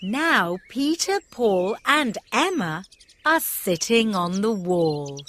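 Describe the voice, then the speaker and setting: A woman narrating a scripted children's story in simple, deliberate sentences for young listeners. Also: mid-range voice, expressive, noisy background.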